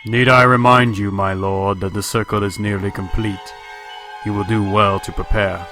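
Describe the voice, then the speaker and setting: A man's voice: lowish voice, level tone, moderate pace, sharp tone, faint music behind. A man playing a grave, formal counsellor to a lord in an audio drama.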